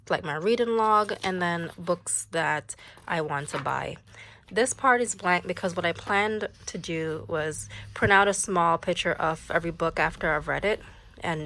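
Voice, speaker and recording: A young woman with a slightly low voice and a stuffy, nasal sound from a cold talks through her planner pages in a relaxed, chatty way. She drops in the odd "like" and "um" as she goes. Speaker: planner vlogger. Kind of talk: casual show-and-tell planner walkthrough.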